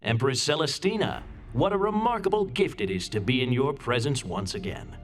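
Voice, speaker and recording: A man voicing a courtly, flattering alien senator in a game, in formal phrasing. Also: medium pitch, normal speed, faint music behind, lightly acted. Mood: courtly flattery. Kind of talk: scripted dramatic game cutscene dialogue.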